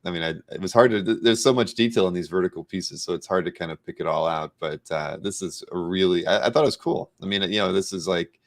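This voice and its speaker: A man's voice: low voice, fast, hardly any pauses. A man hosting a casual interview, chatting appreciatively as he comments on artwork. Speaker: show host, interviewer.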